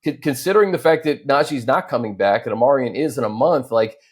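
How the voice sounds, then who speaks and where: A man's voice: medium pitch, brisk, slightly rough. An American man hosting a sports show, chatting casually in loose, conversational phrasing.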